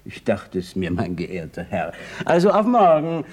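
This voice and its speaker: Deep-voiced, ceremonious old beggar. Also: male voice, wildly swooping pitch, a little slow, darkish tone, full character voice. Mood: fussy, hesitant. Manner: formal, courteous, fussy. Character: ceremonious old male beggar reciting his daily motto. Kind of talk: radio play acting.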